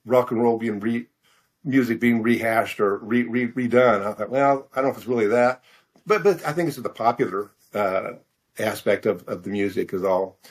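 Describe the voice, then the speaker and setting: A middle-aged American man answering questions in a casual interview, halting and self-deprecating as he searches for his words. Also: fairly low pitch, slightly rough. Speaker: author interview guest.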